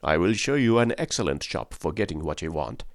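A man's voice: deep voice, sing-song, a little fast, vocal fry, no echo, clean studio sound, exaggerated voice. A man reading an audiobook voices a suspicious male detective who asks short probing questions.

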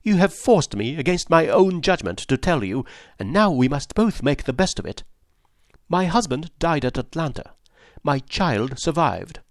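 Guy voicing a proud young wife in an audiobook, pleading, pitch way up, big swings, dry sound. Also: big pitch swings, unhurried, no room sound. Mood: proud, firm. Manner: urgent, entreating, then proud and firm. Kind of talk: audiobook character voice.

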